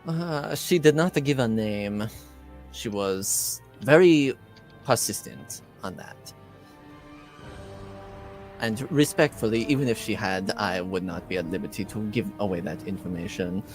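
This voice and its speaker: Man acting discreet, slow-spoken shopkeeper. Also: lowish voice, soft background music. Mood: politely firm. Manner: polite, measured, formal wording. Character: discreet, courteous perfume shopkeeper guarding customers' privacy.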